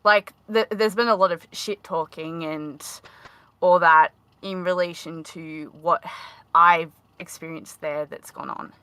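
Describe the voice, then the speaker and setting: A woman with a high-pitched voice talks in a halting, casual way, full of fillers and restarts. Her tone is bright and forward. The sound is dry and close. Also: steady tone, clean tone, dry recording. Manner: hesitant, many fillers and restarts. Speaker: panel guest. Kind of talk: casual panel discussion.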